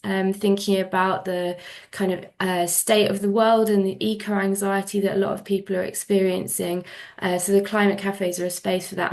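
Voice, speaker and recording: A woman of middle pitch gives a presentation while fighting a cold, so her voice is congested and slightly nasal. She fills her sentences with ums and kind ofs as she goes. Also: darkish tone. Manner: many fillers, um and kind of. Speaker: museum environmental manager. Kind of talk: presentation talk.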